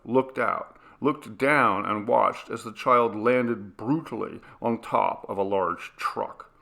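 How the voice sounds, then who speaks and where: A man narrating a horror story as an audiobook, with dramatic, deliberate and suspenseful phrasing. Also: mid-range voice, vocal fry, sharp tone.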